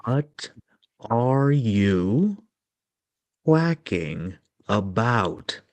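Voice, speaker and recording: A man's voice: fairly low pitch, very animated, very slow, no echo, heavily acted. A man voicing a cocky, hot-tempered boy in a storybook reading, emphatic and exasperated. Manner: loud, retorting, emphatic.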